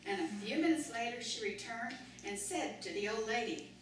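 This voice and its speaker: A woman tells a storybook tale for children as a live puppet show narrator. Her voice is a little high. It comes from a distant mic in a very echoey room with clear background noise. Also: animated, moderate pace.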